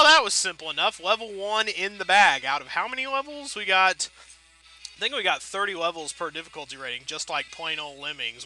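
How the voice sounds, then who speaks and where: A man's voice: a little high, sharp tone, poor audio. A man giving casual, rambling video game commentary as a let's play host, wordy, wry and self-deprecating.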